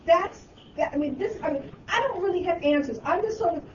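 A woman in her sixties speaking up in a group discussion, halting and restarting often as she makes a point. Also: very high voice, expressive. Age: 60s. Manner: halting, with many restarts and fillers. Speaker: discussion participant. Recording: echoey distant dull mic with noise.

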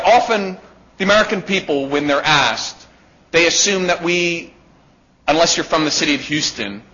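Man, loud voice, bright and forceful, slightly high, dull lo-fi recording. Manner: emphatic, with rhetorical "right?" checks. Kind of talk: live policy talk to an audience.

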